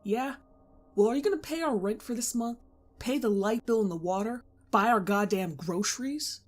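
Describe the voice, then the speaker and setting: A woman's voice: on the high side, moderate pace, a little mellow, soft background music, close to an everyday voice. A woman voicing a poor, proud teenage boy in a storybook reading, answering tersely and defiantly. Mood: angry.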